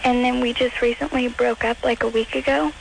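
A fourteen-year-old girl with a childlike baby voice, calling in to a radio show haltingly. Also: fairly high pitch, little pitch movement, vocal fry, background noise. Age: teens. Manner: halting, says like a lot. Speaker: caller. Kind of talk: call-in radio chat.

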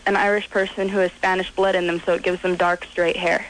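A woman's voice: lowish voice, level tone, brisk, slight background noise, rough recording. A woman calling in to a radio talk show, chatty and a bit hesitant. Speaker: caller. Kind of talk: call-in radio chat.

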